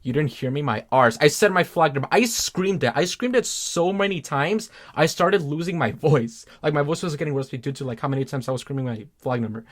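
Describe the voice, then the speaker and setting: Chatty young man with a high voice. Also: brisk, hardly any pauses. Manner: chatty, filler-heavy, lots of "like". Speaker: YouTuber recounting a game show. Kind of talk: casual storytelling vlog.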